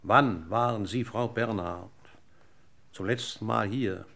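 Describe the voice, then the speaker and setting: A man acting a police inspector in a German radio crime drama, questioning in a deliberate, courteous and insistent way. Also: fairly low pitch, vocal fry, a bit bright, heavily acted. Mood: politely probing. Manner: deliberate, courteous interrogator's delivery. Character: male police inspector, probing, methodical, politely insistent.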